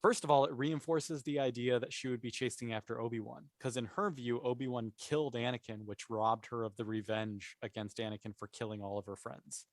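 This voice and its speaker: A man's voice: mid-range voice, steady tone, a little fast, few pauses, dry recording. Explanatory man in casual discussion, dry sound. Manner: thinks aloud, explanatory. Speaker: podcast panelist. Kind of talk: casual opinionated discussion of a TV series.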